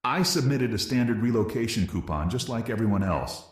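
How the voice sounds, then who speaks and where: A man's voice: medium pitch, expressive, a little fast, roomy sound, close to an everyday voice. A man playing an anxious ordinary citizen who pleads and protests in an amateur audio drama.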